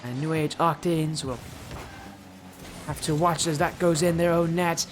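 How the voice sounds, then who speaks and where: A teenage boy with a high-pitched, youthful voice, chatting with his live stream audience and casting a video game match, loud and excited on goals. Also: a little slow, slight background noise. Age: teens. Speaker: esports caster. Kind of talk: live stream chat and play-by-play commentary.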